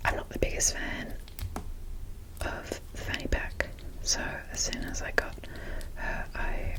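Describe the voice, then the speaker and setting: A young Australian woman whispers breathily, with hardly any voiced sound. She rambles casually in an ASMR style, as if showing items one by one. Her delivery stays hushed and informal. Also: measured pace, rough recording. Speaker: ASMR creator showing a doll collection.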